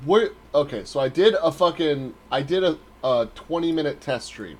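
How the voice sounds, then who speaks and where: Male podcast co-host, voice a touch high, blunt and sweary, loose casual chat. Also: lively intonation, normal speed, a little mellow. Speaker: co-host of a talk stream.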